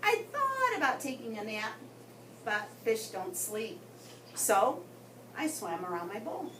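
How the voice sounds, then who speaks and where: A woman reading a story aloud to children in an expressive way, with dramatic pauses and emphasis. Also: a little high. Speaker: guest story reader for children. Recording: roomy, distant mic with background noise.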